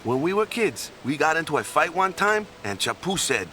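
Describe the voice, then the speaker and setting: A man's voice: fairly high pitch, big pitch swings, moderate pace, pushed and bright, faint music behind. An actor playing a laid-back, unsure young man in a game, talking easily with trailing "yeah?" tags. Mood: wistful. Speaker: game character.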